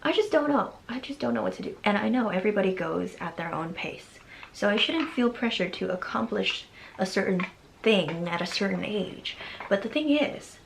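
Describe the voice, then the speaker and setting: A woman's voice: mid-range voice, expressive, normal speed, gravelly, slight background noise, low-quality recording. A woman in her early twenties talking anxiously in a casual, rambling vlog, often laughing as she speaks. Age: 20s. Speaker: vlogger.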